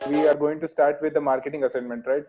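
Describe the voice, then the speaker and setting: A man's voice: on the high side, steady tone, fast talker, over loud music. A man from Karnataka in a student group who speaks hesitantly with many fillers. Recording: dull lo-fi audio.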